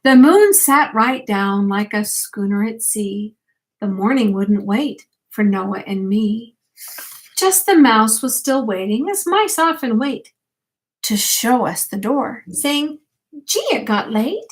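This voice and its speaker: A woman's voice: on the high side, lively intonation, slow pace, rough recording. An older woman, a grandmother, reads a rhyming picture book aloud expressively and unhurriedly, speaking directly to young children. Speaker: grandmother reading a bedtime story to children.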